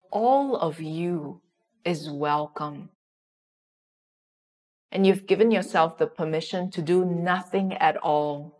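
A woman leading a guided yoga nidra meditation in a soothing, unhurried way, with long pauses. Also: low-pitched.

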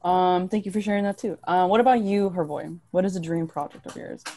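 A woman's voice: on the low side, fairly even intonation, a little fast, dark tone, slight background noise. A young woman moderating an online panel in an encouraging, affirming way. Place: indoors on a video call. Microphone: video call microphone.

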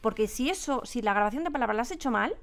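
A woman with a Spain accent talks in a studio interview. Her voice sits a little high as she explains her directing work in a conversational way. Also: lively intonation, fast. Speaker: radio drama director, interviewee. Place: radio drama studio.

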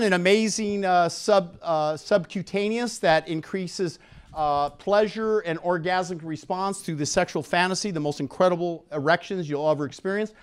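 A middle-aged man speaking as a presenter at an expo, pitching to a live audience in an animated way on a handheld microphone in a conference room. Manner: animated, engages audience with questions and prompts. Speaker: expo speaker and product promoter. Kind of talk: promotional seminar talk to a live audience.

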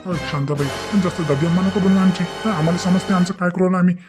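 Man acting a blustering cartoon kidnapper, high male voice, hurried, exasperated complaining. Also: little pitch movement, music in the background, natural voice. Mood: irritated. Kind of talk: Marathi cartoon comedy acting.

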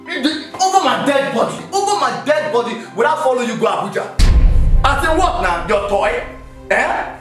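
A man with a Nigerian accent playing an angry, jealous husband who shouts and repeats himself, recorded in an echoey room away from the mic with music behind. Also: high voice, sing-song, normal speed, long pauses, far from the mic. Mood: outraged. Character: angry jealous husband protesting wife's job. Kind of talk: comedy skit acting.

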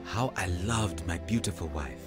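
A man's voice: low-pitched, animated, normal speed, over loud music. An actor playing an earnest, weary evangelist who tells his life story in a radio drama. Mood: reflective. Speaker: actor narrating and playing a preacher. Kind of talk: radio drama acting and first-person narration.

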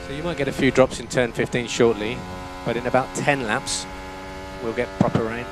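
A man's voice: mid-range voice, fairly even intonation, a little fast, over music, poor audio. A man working as a race engineer, giving terse, matter-of-fact instructions over team radio and repeating key phrases. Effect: team radio transmission.

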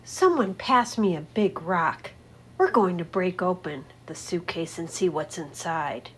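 A woman's voice: fairly low pitch, expressive, unhurried, fairly bright tone, a little hiss or hum. Woman voicing a blunt, suspicious storybook fox. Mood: distrustful. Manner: accusing, assertive. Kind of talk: storybook read-aloud character voice.

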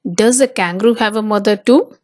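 A woman reading a children's picture book aloud as narrator, with simple, deliberate phrasing for small children. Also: mid-range voice. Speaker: storybook narrator.

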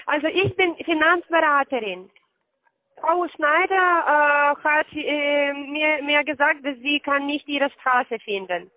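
A woman on a call centre phone call, speaking with halting non-native phrasing. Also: sits high, no echo. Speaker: call centre scammer posing as financial adviser. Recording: tinny narrowband phone line.

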